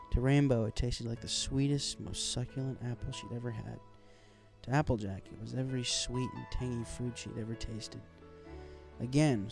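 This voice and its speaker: A man's voice: medium pitch, vocal fry. A man reading a scripted fan fiction story aloud as its narrator.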